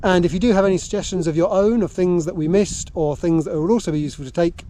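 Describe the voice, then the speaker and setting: A man's voice: high-pitched, fast, dark tone, a little hiss or hum. Jokey English man, outdoor hiking vlog. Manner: jokey, self-mocking. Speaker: vlogger. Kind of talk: casual vlog chat while hiking. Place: outdoors on a hill walk. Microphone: handheld camera.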